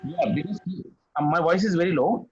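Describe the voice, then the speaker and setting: A male physics tutor, his voice a touch high for a man. He repeats key phrases and keeps asking if his student follows. The call audio is muffled and lo-fi with a faint hiss behind it. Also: fairly quick. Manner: patient, repeats key phrases, checks understanding with "isn't it?". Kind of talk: one-on-one tutoring session.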